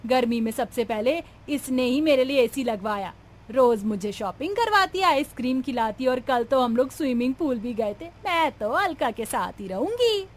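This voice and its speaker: An elderly mother-in-law from a family cartoon, acted by a woman, pitched high with big swings in tone. She is melodramatic and grumbling, quick to fawn over her favourite. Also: lively intonation. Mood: defiant, partial. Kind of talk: cartoon family drama dialogue.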